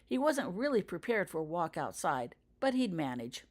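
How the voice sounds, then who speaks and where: A woman's voice: fairly low pitch, big pitch swings, normal speed. A woman reading her own fiction aloud as a scripted audiobook narration for younger listeners. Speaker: author reading own story.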